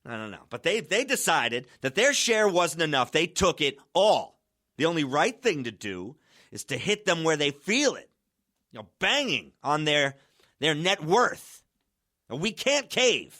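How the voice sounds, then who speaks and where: A man's voice: fairly high pitch, very animated, a little slow, bright, forceful tone, exaggerated voice. An actor playing a brash, irreverent internet radio host with a sardonic, punchy showman's delivery in an audio drama. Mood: indignant, fired up. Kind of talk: audio drama acting as live call-in radio show.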